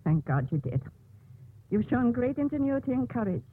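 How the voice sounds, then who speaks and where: An actress playing a grave, forgiving older Mother Superior with solemn, measured delivery in 1950s radio drama. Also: fairly high pitch, sing-song, husky. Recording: dull old radio, music behind.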